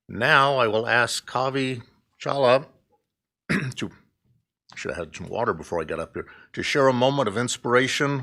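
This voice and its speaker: Club president type, opens a meeting from a podium mic, middle-pitched and steady. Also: male voice. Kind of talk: opening remarks at a club meeting. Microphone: podium microphone.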